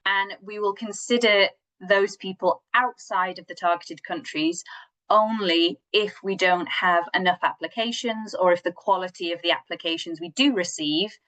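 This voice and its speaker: A woman's voice: fairly low pitch, moderate pace, fairly bright tone, poor audio. A woman hosting an online information session, explaining details in an organised way and directing questions to her colleagues over a video call. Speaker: session host.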